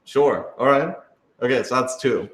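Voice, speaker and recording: A man's voice: mid-range voice, measured pace. A man chatting casually and unscripted on a live stream, thoughtful and hedging, with joking asides. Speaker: radio drama creator answering fan questions. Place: office, indoors. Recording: roomy, echoey indoor room.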